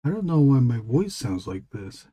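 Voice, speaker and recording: A man with a husky voice, chatting casually with his live-stream viewers as he draws, rambling and asking them questions. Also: mid-range voice, big pitch swings, normal speed, dark tone. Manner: rambling, talks to chat readers. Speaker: illustrator streaming his drawing. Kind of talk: casual live-stream chat while drawing.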